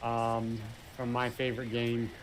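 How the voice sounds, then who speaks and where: A man's voice: medium pitch, monotone, measured pace. A man chatting casually while gaming, hesitant and full of fillers as he thinks aloud. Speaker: gamer co-op player.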